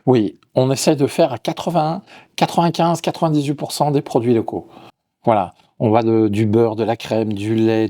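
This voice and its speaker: A middle-aged man from Lyon, a chef and restaurant owner, answering interview questions with frequent restarts and repeated words. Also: on the low side. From Lyon, France. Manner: hesitant, repeats words, warm about his work.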